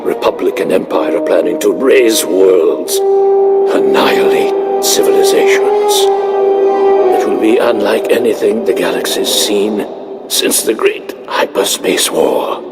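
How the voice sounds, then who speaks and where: A man's voice: lowish voice, wildly swooping pitch, unhurried, exaggerated voice. A man acting a defiant, fatalistic defector general in a video game, grave, weary and dramatic. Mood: grave warning. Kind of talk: scripted video game dialogue. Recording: loud music over voice.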